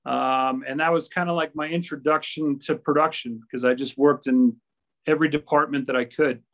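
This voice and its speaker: Middle-aged Midwestern man, slightly high-pitched. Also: fairly even intonation, moderate pace, fairly bright tone. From US Midwest, grew up in Minnesota. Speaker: interview guest, filmmaker. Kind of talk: casual conversational recollection.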